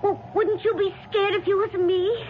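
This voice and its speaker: An actress in a 1970s radio drama playing a nervous, breathlessly chatty young working-class waitress with a colloquial, rambling way of talking. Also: female voice, very high voice, steady tone, moderate pace. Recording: tinny, noisy old radio broadcast.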